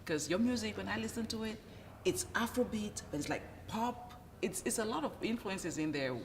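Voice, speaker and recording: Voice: medium pitch, lively intonation, moderate pace, slight background noise. Curious interviewer in a reverberant room. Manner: curious, laughs easily. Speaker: interviewer host. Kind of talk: casual interview questions.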